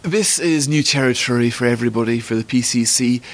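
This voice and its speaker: A man with a posh Scottish accent, a magazine editor giving measured, articulate interview answers. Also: mid-range voice, slight background noise. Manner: articulate, fluent, considered.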